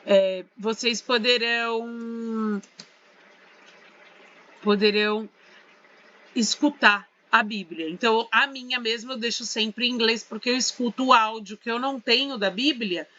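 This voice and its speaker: A woman's voice: on the high side, measured pace, bright, forceful tone, highs cut off. A Brazilian woman teaching English in a casual walkthrough tutorial, chatty and often checking in with her students. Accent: Brazilian Portuguese. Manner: chatty, checks in with tag questions. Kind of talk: casual screen-share tutorial.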